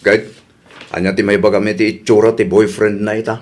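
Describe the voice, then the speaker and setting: A man's voice: lowish voice, little pitch movement, a little fast, lightly acted. An actor playing a lovesick jilted man who cannot move on, in a comedy radio drama. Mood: probing.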